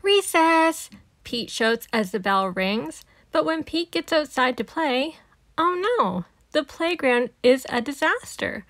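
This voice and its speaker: Female storybook reader, slow and high, animated read-aloud for little kids, dry sound. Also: no room sound. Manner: animated, emphatic on sound-effect words. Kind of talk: expressive read-aloud narration for young children.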